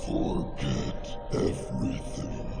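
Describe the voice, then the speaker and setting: A man's voice: takes it slowly, natural voice. A man voicing a menacing monstrous hive mind in a video game, with a guttural growl and an ominous, commanding manner. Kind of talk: dramatic video game acting. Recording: loud music over the voice.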